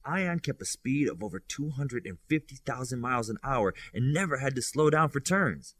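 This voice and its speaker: Man narrating fiction with lively pitch swings. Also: moderate pace, no room sound, studio quality. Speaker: audiobook narrator.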